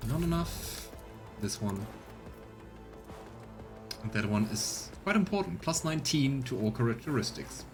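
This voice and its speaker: A man's voice: medium pitch, big pitch swings, unhurried, long pauses, over music. A man streaming a let's play, giving casual commentary and reading game narration aloud.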